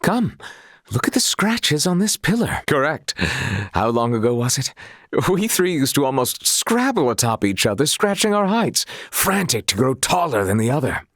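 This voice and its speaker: An actor playing an earnest, courtly young sailor and swordsman of noble family in a video game, speaking in formal, old-fashioned phrasing with a husky voice. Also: mid-range voice, very animated, moderate pace, a bit bright, no room sound, clean studio sound. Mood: fond, nostalgic.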